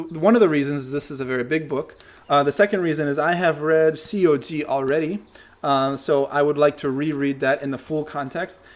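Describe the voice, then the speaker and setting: A young man hosting his channel, who says English is not his first language, answering viewer questions in a relaxed, thinking-aloud way. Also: a little high. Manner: relaxed, thinks aloud with fillers and self-corrections. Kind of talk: casual Q&A talk to camera.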